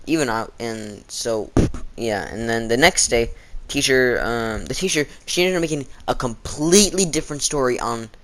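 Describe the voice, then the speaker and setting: A teenage guy with a mid-pitched voice gives a casual storytime talk. He rambles along in an informal, conversational way, slipping into quoted exchanges as he goes. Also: moderate pace, noisy background. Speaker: storytelling vlogger.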